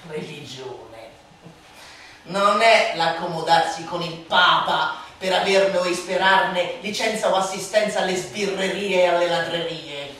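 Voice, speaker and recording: A woman performing a theatrical satirical monologue as a scornful, vehement orator, declaiming in the manner of a harangue. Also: lowish voice. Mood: grave, accusing. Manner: declamatory, swinging from hushed sneering to shouted outbursts. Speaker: stage and radio actor. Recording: echoey hall, distant mic, faint noise.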